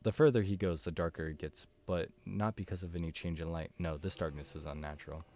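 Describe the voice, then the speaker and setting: A man's voice: sits low, steady tone, brisk, a little mellow. A man reading a visual novel aloud as narration, as a game streamer does. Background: background music.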